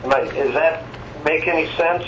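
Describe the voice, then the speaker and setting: Halting man on echoey conference line. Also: a little high, fairly bright tone. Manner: halting, meandering. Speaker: board member. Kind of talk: informal meeting discussion. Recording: echoey muffled phone line, faint noise.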